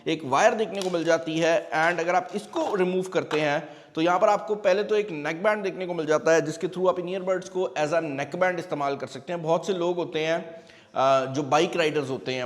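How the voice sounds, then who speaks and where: A man with a Pakistani accent hosting a tech product review, explaining things conversationally and dropping English phrases into his speech. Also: on the high side. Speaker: tech reviewer and channel host. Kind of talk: product review and unboxing, casual explaining to viewers. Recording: long reverberant room echo.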